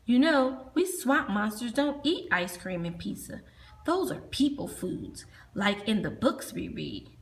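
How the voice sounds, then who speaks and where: A woman voicing a stern mother swamp monster who scolds in a lower voice. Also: sing-song, unhurried, gravelly, heavily acted. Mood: scolding.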